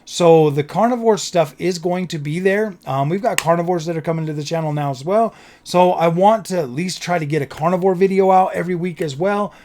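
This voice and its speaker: A man talking casually to his viewers as a gaming content creator, slightly hoarse, rambling and repeating phrases in an earnest, enthusiastic way. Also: sits high, fairly quick, few pauses, a little mellow. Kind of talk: casual vlog talk to viewers.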